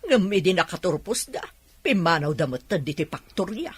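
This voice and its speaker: A man playing a grudging, contrary factory colleague in an Ilocano radio drama, with a husky voice. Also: sits high, big pitch swings, moderate pace, fairly bright tone, full character voice. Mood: disapproving. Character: grudging, sceptical factory colleague who argues back.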